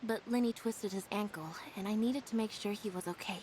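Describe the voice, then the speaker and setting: An actress playing a deadpan young magician's assistant in a video game, speaking in a dry, scolding way. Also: female voice, mid-range voice, brisk, slight background noise, lightly acted, low-quality recording. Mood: dry, reproachful. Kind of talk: video game character acting.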